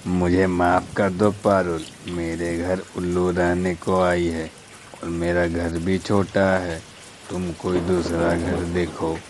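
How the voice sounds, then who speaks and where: A man plays an eagle uncle in a children's cartoon, very low and monotone. He speaks slowly and dismissively, with noisy rain behind. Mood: apologetic, refusing. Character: male eagle uncle, unhelpful elder neighbour. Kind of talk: dramatized Hindi children's cartoon story.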